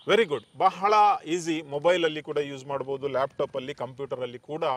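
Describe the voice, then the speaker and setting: A man's voice: on the high side, fairly even intonation, moderate pace. A man hosting an interview with a coastal Karnataka accent, putting questions and summing up points for viewers. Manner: repeats and sums up answers. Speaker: talk show host.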